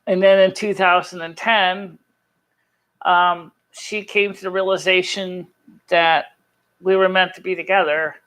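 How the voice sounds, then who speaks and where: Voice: mid-range voice, fairly bright tone, smooth. Rambling live stream host with earnest delivery. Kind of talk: casual chat to a live chat audience, personal storytelling.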